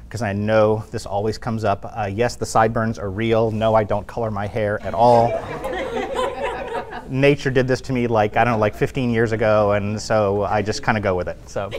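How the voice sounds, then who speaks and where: A man's voice: lowish voice, sing-song, moderate pace, a faint crowd. A man speaking as a guest at a tech meetup, loose and chatty with lots of fillers, on a clip-on mic in a room with an audience. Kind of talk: casual presentation. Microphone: clip-on lapel mic.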